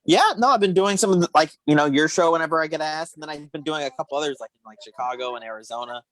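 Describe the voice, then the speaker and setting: A woman's voice: deep voice, rapid-fire, no room sound. Female comedian guest, wry and casual, very dry sound. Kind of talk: casual chat.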